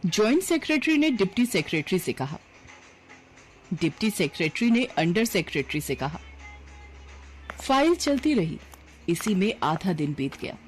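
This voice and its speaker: A woman narrating a scripted story in an expressive storytelling manner. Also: medium pitch.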